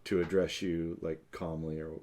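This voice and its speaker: A man co-hosting a relationship talk show, with a booming voice, speaking slowly and deliberately as he mirrors back what his partner said. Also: flat delivery, darkish tone. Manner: slow, deliberate mirroring with fillers. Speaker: co-host. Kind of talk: live relationship talk show, role-play of a conflict repair.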